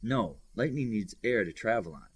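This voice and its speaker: A man's voice: lowish voice, animated, moderate pace, breathy edge. A man voicing a knowledgeable, serious young superhero girl who speaks precisely and matter-of-factly in an audiobook. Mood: calm, precise.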